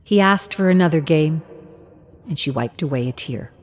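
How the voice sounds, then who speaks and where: A woman's voice: low-pitched, animated, moderate pace. A woman narrator reading a scripted story.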